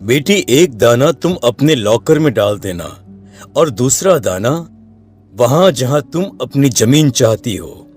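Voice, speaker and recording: An actor voicing a kindly old male sage in a cartoon, speaking in a slow, soothing, fatherly way. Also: mid-range voice, very animated, a little mellow, soft background music. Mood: gentle. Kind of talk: Hindi cartoon dub.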